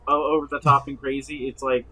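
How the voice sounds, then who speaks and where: A man chatting casually as a podcast co-host, halting and often stammering over repeated words. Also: medium pitch, flat delivery, sharp tone, breathy edge. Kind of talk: casual chat.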